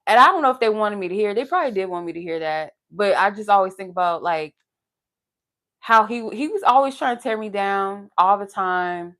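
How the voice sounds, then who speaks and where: A woman's voice: mid-range voice, lively intonation, a little fast, fairly bright tone. A young adult woman with a soothing, pleasant voice, chatting casually and candidly with profanity and laughter on a live stream as a tarot reader. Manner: laughs while talking.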